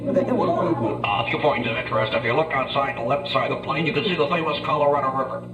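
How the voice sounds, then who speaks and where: A man voicing a macho, unflappable airline captain making deadpan announcements over a plane PA in a stand-up bit. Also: medium pitch, quick pace, over music, voice pushed down. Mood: calm deadpan. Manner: drawling, hesitant, deadpan reassurance. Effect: plane PA speaker. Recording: echoey live stage, distant muffled mic.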